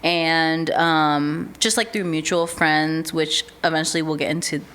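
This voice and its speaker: A young woman with a notably low voice. She talks in a relaxed, chatty way, full of "um" and "like", like a guest on a casual podcast. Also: a little slow. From United States. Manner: relaxed, chatty, with many fillers like "um" and "like". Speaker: podcast guest, artist manager. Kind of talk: casual interview chat.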